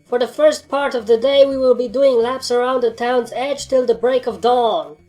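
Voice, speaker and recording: A woman plays a stern pony commander in an audio storybook, a mare who gives orders in a matter-of-fact way and is easily annoyed. Music plays clearly behind the voice. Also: fairly high pitch, normal speed, warm tone, pitched above the performer's own voice, close to an everyday voice. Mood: brisk, commanding.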